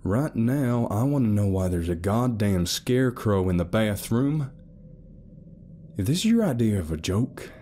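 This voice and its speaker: A man doing a gruff, commanding father. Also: on the low side, mellow, slight background noise, pitched above the performer's own voice. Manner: barking and commanding. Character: stern middle-aged father, commanding and gruff.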